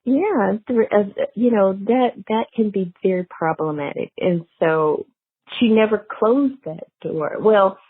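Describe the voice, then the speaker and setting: A woman interviewed as an author, talking casually and thoughtfully with halting phrases and emphatic key words, on narrow muffled audio. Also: medium pitch, breathy edge, no echo. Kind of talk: casual conversational interview, explaining characters.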